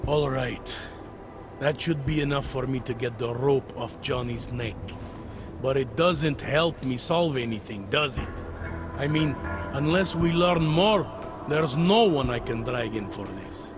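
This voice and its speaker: An actor playing a gruff, skeptical police detective in a video game, blunt and measured as he questions things. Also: medium pitch, over music, natural voice. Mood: resigned, blunt. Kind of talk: video game cutscene acting.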